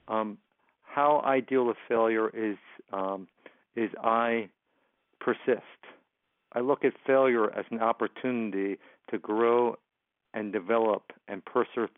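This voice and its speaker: A man's voice: lowish voice, steady tone, measured pace, dry recording. A man with a stutter, a motivational speaker answering interview questions, often repeating words and sounds. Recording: phone line, dry.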